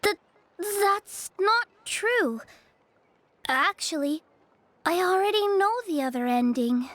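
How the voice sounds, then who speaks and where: A woman voicing a shy, sweet, bookish little girl in a video game, hesitant and soft-spoken. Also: very high voice, animated, slow, no room sound, full character voice. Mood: timid.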